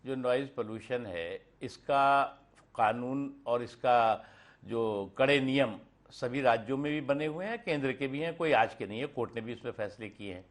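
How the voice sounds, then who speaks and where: Fairly high-voiced man, halting delivery. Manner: halting, repeats words, colloquial. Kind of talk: spontaneous political remarks.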